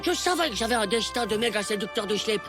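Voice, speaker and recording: A woman voicing a brash, excitable ten-year-old boy in a cartoon, with a whiny, slangy, melodramatic delivery. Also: fairly high pitch, fast talker, over music, natural voice. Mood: gloating. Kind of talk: animated series voice acting.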